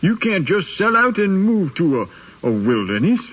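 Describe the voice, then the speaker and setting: Husky, stern old planter father. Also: high voice, big pitch swings, normal speed, exaggerated voice. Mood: stern disapproval. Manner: admonishing, emphatic. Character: stern, settled older Southern planter father. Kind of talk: 1950s radio drama acting. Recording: dull 1950s radio recording.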